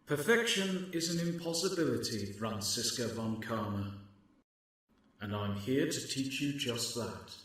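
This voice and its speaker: A man's voice: mid-range voice, animated, a little slow, fairly bright tone, breathy edge. A man playing an earnest, theatrical defense lawyer in a courtroom game fandub. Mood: stern, pondering.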